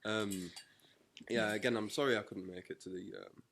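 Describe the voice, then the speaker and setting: A man's voice: fairly low pitch, fairly even intonation, moderate pace, a bit bright, a little hiss or hum. A young man with a North East English accent, interviewing in a casual, slangy chat. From North East England.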